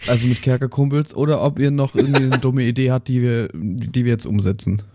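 A man's voice: medium pitch, steady tone, fairly quick, background noise. A man co-hosting a podcast, bantering with friends in a teasing, slangy way. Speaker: podcast co-host. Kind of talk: casual banter among friends.

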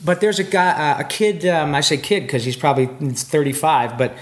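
A man's voice: a little high, normal speed. A man chatting in an interview, telling long stories with lots of fillers and restarts. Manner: animated, rambling storyteller with many fillers. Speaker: guest voice actor. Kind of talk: casual interview chat. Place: convention floor.

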